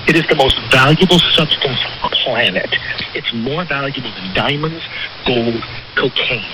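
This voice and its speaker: Very noisy shortwave reception, man, mid-pitched voice, emphatic interview guest, explanatory talk. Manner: emphatic, repeats phrases for weight. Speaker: interview guest, criminal defense attorney. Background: loud radio static.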